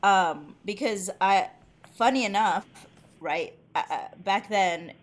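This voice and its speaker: Podcast host: woman, native Spanish speaker, mid-pitched, loose and profane, quick to laugh. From Mexico. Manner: profane, laughs often, animated storytelling. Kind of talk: casual chat.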